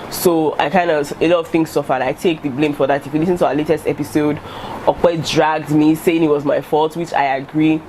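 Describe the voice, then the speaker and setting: A woman's voice: low-pitched, steady tone, a little fast, darkish tone, slight background noise, poor audio. A young woman with a Nigerian accent and Yoruba as her first language, talking candidly to camera in a casual vlog with fillers and restarts. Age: young adult. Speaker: YouTuber. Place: indoor room in front of a striped curtain. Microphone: camera in front at head-and-shoulders framing.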